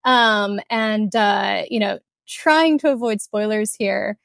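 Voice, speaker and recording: A young woman from Kuwait, an author talking casually in an interview, chatty with many fillers and soft laughs. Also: medium pitch, slow pace, creaky, dry recording, clean studio sound. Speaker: author guest.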